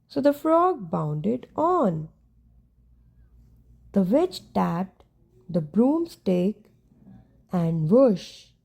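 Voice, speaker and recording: A woman narrates a children's storybook in a mellow voice. She reads very slowly, in short deliberate phrases, and lets her pitch rise and fall in an animated way. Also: creaky, husky. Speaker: storyteller reading a children's picture book. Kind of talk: read-aloud bedtime story narration.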